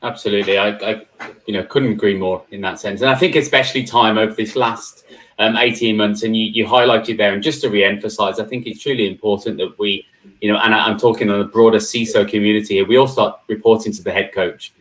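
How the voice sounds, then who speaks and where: A man's voice: lowish voice, fairly even intonation, quick pace, a bit bright, poor audio. Chatty man hosting a live stream. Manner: chatty, many fillers and restarts. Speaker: host.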